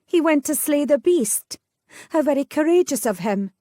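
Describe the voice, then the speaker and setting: A woman's voice: high-pitched, animated, normal speed, mellow, dry recording, studio quality, pitched above the performer's own voice. A woman voicing a wry, headstrong young noblewoman, speaking in a lilting, old-fashioned way.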